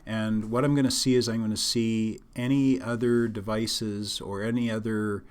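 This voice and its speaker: A man explaining a technical system as a trainer, in an unscripted, halting instructional style. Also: fairly low pitch, level tone, clean tone.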